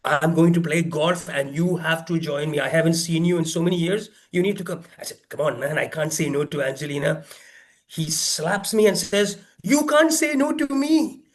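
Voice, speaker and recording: A man's voice: fairly high pitch, moderate pace. A man giving a humorous, exaggerated storytelling speech, theatrically acting out dialogue and putting rhetorical questions to listeners. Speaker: workshop speaker telling a tall tale.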